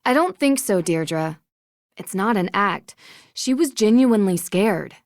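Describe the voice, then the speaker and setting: A woman playing a meticulous, inquisitive young amateur detective in a video game, speaking in a measured, articulate way. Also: medium pitch, expressive, no echo, clean studio sound. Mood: firm, decisive. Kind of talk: scripted video game voice acting.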